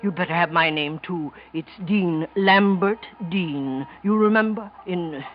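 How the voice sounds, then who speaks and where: A man's voice: sits high, a little slow, a little hiss or hum. An American actor playing a fussy, scheming, hesitant office man in 1940s radio drama style, stammering politely. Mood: confiding, anxious. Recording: dull vintage radio recording.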